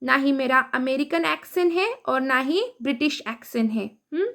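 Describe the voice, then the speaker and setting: A woman with an Indian accent, reading an English story aloud slowly and translating it word by word into Hindi as a teacher. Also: high-pitched. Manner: reads word by word, translating each.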